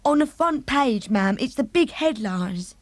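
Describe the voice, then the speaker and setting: A woman's voice: a little high, lively intonation, no room sound, pitched above the performer's own voice. A woman reading aloud and voicing a nervous, deferential middle-aged maid who frets and stays respectful. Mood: shaken. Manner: flustered, respectful, quick to fret.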